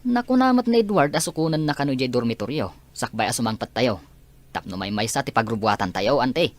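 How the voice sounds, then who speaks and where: A man's voice: medium pitch, lively intonation, fairly quick, no room sound, lightly acted. An actor playing a casual, talkative young male family friend in a Philippine radio drama. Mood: explaining, casual.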